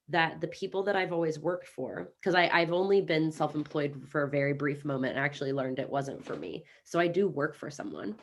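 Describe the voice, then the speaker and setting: An American woman in her thirties, chatting as a guest on an interview over a video call, upbeat and talkative with quick restarts. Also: low-pitched. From Kansas City, United States. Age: 30s. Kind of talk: casual interview chat.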